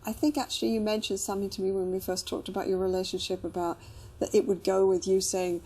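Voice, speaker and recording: A woman's voice: mid-range voice, level tone, quick pace, mellow, slightly rough. A woman working as a couples therapist, talking through a problem with clients, rephrasing and checking with questions. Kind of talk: probing therapy conversation.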